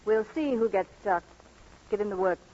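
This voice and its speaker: An actress playing a brisk, skeptical woman doctor, firm and clinical, in a radio romantic comedy. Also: mid-range voice, dark tone, slight background noise, natural voice. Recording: dull lo-fi old radio recording.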